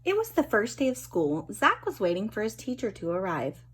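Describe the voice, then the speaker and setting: A woman reading a children's storybook expressively, with dramatic emphasis and drawn-out key words. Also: mid-range voice, wildly swooping pitch, slight background noise. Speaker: storybook reader.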